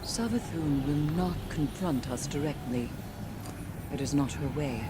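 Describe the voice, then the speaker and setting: A composed scholar in a video game, her voice very low for a woman. She speaks slowly and deliberately, dry and curious, as if weighing each word. There is clear noise behind her. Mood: cool, wry. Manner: measured, deliberate, pensive delivery. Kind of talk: scripted game cutscene narration.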